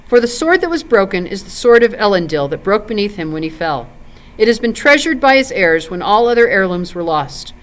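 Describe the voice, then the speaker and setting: A woman's voice: medium pitch, sing-song, fairly quick, close to an everyday voice. A woman voicing a noble, resolute male ranger in a solemn, declarative storybook manner. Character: noble, resolute ranger man, heir of kings.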